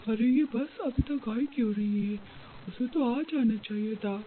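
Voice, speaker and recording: A woman voicing an excited, impatient schoolchild in a cartoon. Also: fairly high pitch, unhurried, close to an everyday voice. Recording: tinny narrow-band sound, noisy background.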